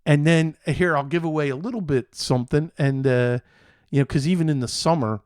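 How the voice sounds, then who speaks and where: A middle-aged man from Philadelphia with an American accent, hosting a casual podcast in a halting, meandering, enthusiastic way. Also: on the high side, darkish tone, no room sound, studio quality. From Philadelphia, United States. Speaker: show host.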